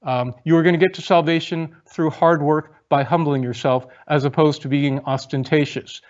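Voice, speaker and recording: A man's voice: a little high, fairly even intonation, normal speed. Chatty male lecturer on a lo-fi stream. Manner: chatty, reads viewer questions aloud, frequent ums. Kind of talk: online lecture and audience Q&A.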